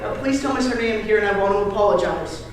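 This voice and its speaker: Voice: medium pitch, quick pace, lightly acted. A distant, echoey stage recording of a young actor playing an awkward boy suitor. He sounds prissy and proper in a school play, with faint noise around him. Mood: prim. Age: teenage or young adult.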